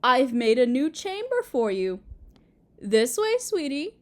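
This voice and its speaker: A woman playing a doting, possessive Mother Earth goddess in a roleplay audio drama, sweet and cooing as if to a small child, with gentle menace. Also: high-pitched, very animated, slow, heavily acted. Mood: delighted doting.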